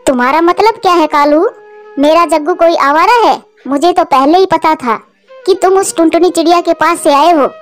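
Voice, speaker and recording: A woman voicing a sharp-tongued, doting mother crow who nags indignantly in a cartoon. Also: very high voice, moderate pace, soft background music. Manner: indignant, nagging. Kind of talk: Hindi cartoon voice acting.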